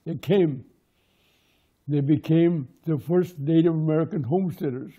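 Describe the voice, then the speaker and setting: A man whose first language is Lakota, telling oral history in short, plain sentences. His voice sits high for a man but has a very dark, mellow tone. He speaks slowly and unhurriedly. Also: slightly rough. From Pine Ridge, South Dakota. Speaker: interviewee, local historian.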